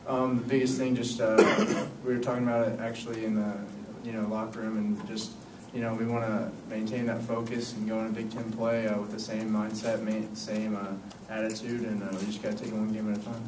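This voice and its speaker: A young college basketball player answers press questions in a low, fairly flat voice, leaning on fillers like "um" and "you know". He sounds far from the mic in an echoey room with steady background noise. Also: brisk. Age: young adult. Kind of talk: postgame press conference answers. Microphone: table mic, picked up from a distance.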